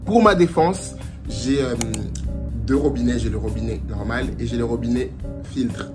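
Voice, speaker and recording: A young man from France giving casual travel advice in a lively vlog, dropping Portuguese phrases as he talks. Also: mid-range voice, normal speed. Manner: chatty and animated, slips into Portuguese phrases. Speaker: vlogger. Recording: music playing under the voice.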